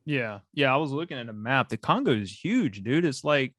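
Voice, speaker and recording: A man's voice: mid-range voice, expressive, normal speed, a bit bright, no echo. Laid-back male podcast host, dry sound. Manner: laid-back, casual slang, curious questions. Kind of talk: casual interview.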